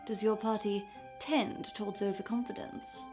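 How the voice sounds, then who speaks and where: A woman playing a mockingly polite smuggler boss villainess who taunts a captive with deliberate, condescending menace in a fantasy roleplay. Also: medium pitch, steady tone, over music, natural voice. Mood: smug scheming. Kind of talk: point-of-view fantasy roleplay.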